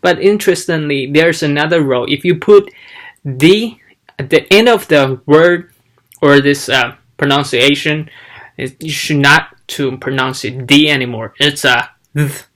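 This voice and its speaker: Guy w/ talking slow, lots of ums, sounding out syllables one by one. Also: fairly high pitch, a bit bright. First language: Chinese. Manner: slow, halting, demonstrates sounds aloud. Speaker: language learner vlogger. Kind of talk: casual teaching vlog.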